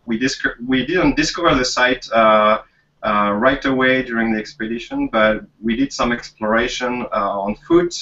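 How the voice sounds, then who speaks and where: A male museum curator talking casually on a video chat, halting with many fillers. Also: lowish voice, bright, forceful tone, distant mic, highs cut off. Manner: halting, frequent "uh".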